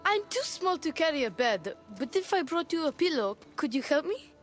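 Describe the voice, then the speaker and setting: A woman's voice: high-pitched, sing-song, moderate pace, faint music behind. An actress playing a small, polite, earnest and worried young boy in a video game. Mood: shy, admiring. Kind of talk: dramatic video game acting.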